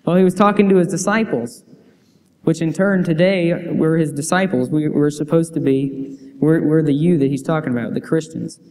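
A man's voice: a little high, normal speed, mellow. Young man preaching in an echoing church. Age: young adult. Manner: earnest, reads quotes aloud, frequent restarts. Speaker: youth preacher. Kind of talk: sermon with scripture reading. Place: church sanctuary. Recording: church hall reverb.

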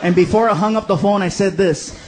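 A man's voice: high voice, brisk. A man telling a slangy stand-up story, acting out dialogue and laughing as he talks. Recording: noisy live comedy show audio.

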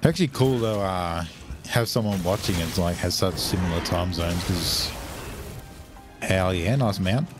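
A young Australian man swearing freely and reacting loudly as he chats while streaming a game. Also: low-pitched, a little slow, over music. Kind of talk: casual live game commentary.

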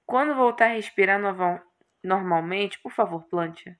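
A woman voicing a calm, literal-minded horned male magician in a game, speaking in a dry, measured way. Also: medium pitch, natural voice. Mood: matter-of-fact.

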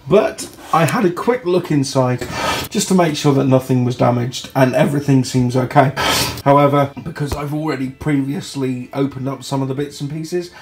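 Hoarse-throated man with a gravelly voice. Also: on the high side, darkish tone. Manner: chatty and enthusiastic, clears throat. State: sore throat, losing voice. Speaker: product reviewer. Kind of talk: casual unboxing review. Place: indoor home room. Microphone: phone held in hand.

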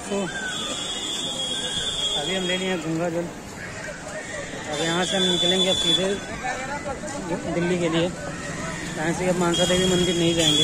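A man's voice: high-pitched, very noisy. A young man, chatting casually and informally to viewers as a travel vlogger. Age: 20s. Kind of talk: casual vlog chat to camera. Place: outdoors on busy streets and riverside. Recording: outdoor phone vlog with loud background music.

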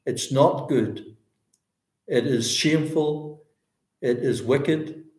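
Male pastor, 60s, Northern Irish accent. Unhurried, earnest public prayer in short halting phrases, recorded in an echoey room. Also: medium pitch, a little mellow. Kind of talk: extempore public prayer, church service. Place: indoors, small bare-walled room.

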